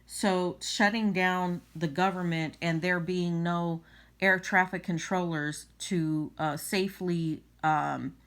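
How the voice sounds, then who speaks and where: Lowish-voiced woman, halting political commentary. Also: fairly even intonation, a bit bright. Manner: halting, emphatic. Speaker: vlogger commenting on news.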